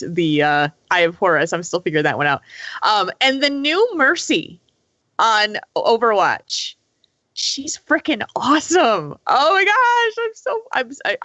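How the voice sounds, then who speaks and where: Bright-voiced enthusiastic woman podcaster. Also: medium pitch, no room sound. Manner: enthusiastic, informal. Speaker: podcast co-host. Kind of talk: casual gaming podcast chat.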